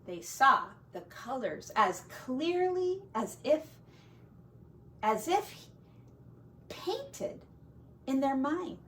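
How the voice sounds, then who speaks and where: A woman teacher reading a storybook aloud to children with expressive, deliberate phrasing and casual asides. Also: high voice, distant mic, slight background noise.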